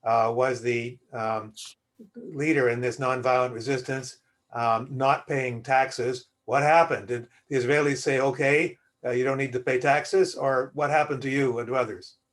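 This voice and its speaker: A man's voice: mid-range voice, steady tone, a little slow. A man moderating a webinar over a video call, cutting in to ask direct questions. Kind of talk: interview questioning.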